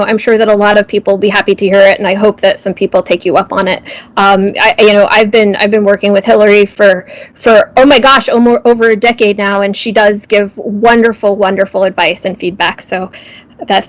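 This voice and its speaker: A woman's voice: medium pitch, steady tone, brisk. A woman hosting a casual live interview, enthusiastic and appreciative as she puts questions to her guest. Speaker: interview host.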